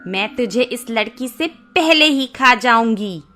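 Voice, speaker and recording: A woman voicing a bloodthirsty, gloating forest witch with a menacing, drawn-out delivery in a children's moral story. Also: a little high, lively intonation, bright, forceful tone, faint music behind, heavily acted. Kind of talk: Hindi moral-story narration, dramatized.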